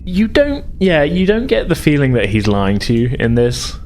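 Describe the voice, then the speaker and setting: A man acting as game master, narrating a tabletop game casually in a halting, explanatory way. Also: fairly high pitch, huge pitch swings, a little mellow, slight background noise.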